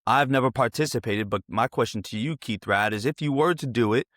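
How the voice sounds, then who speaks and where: A man's voice: medium pitch, fairly quick, fairly bright tone. A man in his twenties hosting a casual sports interview, talking in a rambling, digressive way. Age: 20s.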